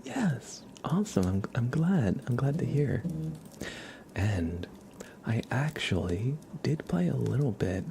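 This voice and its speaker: A man hosting an ASMR livestream, chatting casually in a soft, hushed voice that often slips into a whisper. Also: medium pitch, sing-song, slow, vocal fry, slight background noise. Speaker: livestream host. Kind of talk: ASMR, casual chat with viewers.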